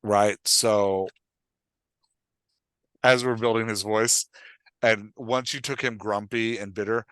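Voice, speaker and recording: A man's voice: lowish voice, fairly even intonation, takes it slowly, pauses often, fairly bright tone, no echo, rough recording. Man coaching casually, close dry sound. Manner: emphatic, acts out example phrases. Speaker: host and writing coach. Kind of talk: conversational coaching on writing.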